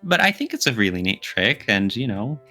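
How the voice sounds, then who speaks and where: A man's voice: on the low side, sing-song, a little fast, sharp tone, faint music behind. A man co-hosting a podcast in casual chat, talking through his opinions with examples. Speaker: podcast co-host.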